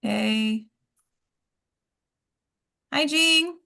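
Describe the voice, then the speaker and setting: Woman organizer w/ highish creaky voice, chatting casually on a group call, kinda trails off. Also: drawn-out words, bright, forceful tone. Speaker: organizer and host of an acting table read. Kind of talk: casual chat while waiting for participants.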